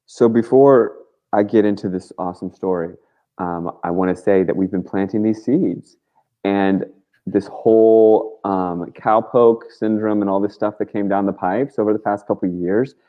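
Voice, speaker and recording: A man with a very low voice speaks in a casual, rambling storytelling style. His delivery is earnest and emphatic, peppered with slang. The audio is somewhat dull and lo-fi, like a call-in show. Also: fairly even intonation, normal speed. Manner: informal and slangy, with frequent "like" and mild swearing. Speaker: talk show guest, activist.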